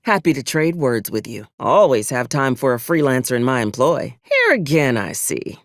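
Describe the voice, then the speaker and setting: Wry ambitious army officer for a game, super animated pitch, folksy old-timey formal talk, ruthless, dry studio sound. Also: female voice, normal speed, no room sound, full character voice. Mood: wry, businesslike. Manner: formal archaic diction mixed with folksy frontier slang. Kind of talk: video game character dialogue.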